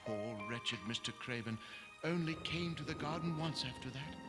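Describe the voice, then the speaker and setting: An actor playing a sorrowful, dramatic storyteller in an animated film, with an emotive, theatrical delivery. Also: male voice, fairly high pitch, normal speed, lightly acted. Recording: loud music behind the voice. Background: loud music.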